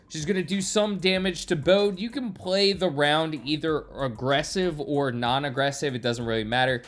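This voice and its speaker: Male video game guide narrator, slightly high pitch, explanatory tutorial read, light music underneath. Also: a bit bright. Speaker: gaming video host. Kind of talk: scripted gameplay tutorial narration.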